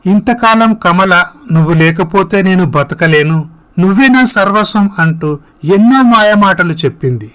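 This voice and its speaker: A man's voice: high-pitched, animated, unhurried, a bit bright. A man voicing an earnest, sorrowful young man in a dramatized moral story. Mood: heartbroken. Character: heartbroken young man confiding in his parents.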